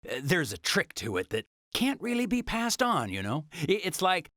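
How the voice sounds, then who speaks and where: A man's voice: high voice, huge pitch swings, moderate pace, sharp tone, no echo, studio quality, full character voice. An actor playing a jovial, talkative travelling salesman in a video game cutscene, with a folksy, chatty manner. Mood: folksy, friendly. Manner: chatty, folksy, chuckling, exclamatory.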